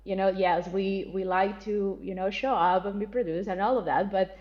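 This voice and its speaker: A woman's voice: mid-range voice, steady tone, normal speed, dull audio. A woman from Colombia, talking candidly and expressively as an interview guest, laughing easily and swearing casually. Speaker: guest, spiritual teacher.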